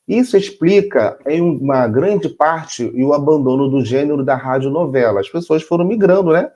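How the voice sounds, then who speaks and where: A man's voice: fairly high pitch, expressive, normal speed, warm tone, dull audio. A Brazilian man co-hosting a casual chat, playful and jokey, reading facts and adding his own sound effects. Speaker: co-host.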